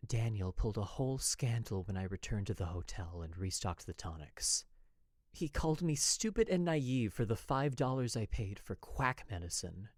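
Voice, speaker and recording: A man's voice: lowish voice, lively intonation, normal speed, darkish tone, no echo, studio quality, lightly acted. A man playing an anxious, oppressed young wife who confides in her diary, in an earnest, formal, dramatic western narration. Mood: bitter.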